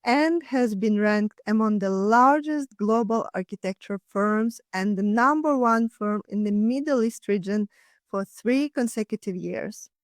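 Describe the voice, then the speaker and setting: A woman's voice: medium pitch, a little slow, no echo, studio quality. A woman hosting a podcast, reading a polished, upbeat introduction and asking interview questions. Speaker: podcast host. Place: studio.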